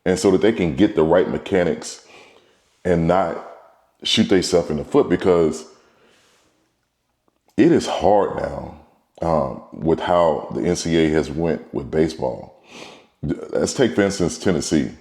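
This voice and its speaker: A man's voice: deep voice, measured pace, pauses often, a little mellow, echoey room. A middle-aged baseball trainer with a cold, talking in a rambling casual monologue as host, repeating and restarting his words. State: congested from a cold.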